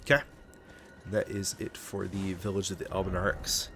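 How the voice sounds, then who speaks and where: A man casually narrating a game walkthrough, relaxed and chatty with lots of ums, sounding stuffed up from a cold. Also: deep voice, moderate pace, noisy background. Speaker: gaming channel host. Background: game music.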